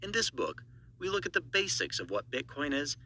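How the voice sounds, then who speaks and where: A man's voice: fairly high pitch, big pitch swings, normal speed, pushed and bright, slight background noise. A man reading scripted audiobook narration in a promotional style.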